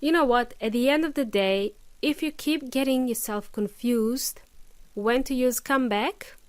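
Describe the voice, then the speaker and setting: Woman doing an english podcast lesson, bit high voice, patient, lots of um and uh, dry close sound. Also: no room sound. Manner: patient, explains slowly with examples and fillers. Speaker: English teacher, podcast host. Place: lives in Melbourne.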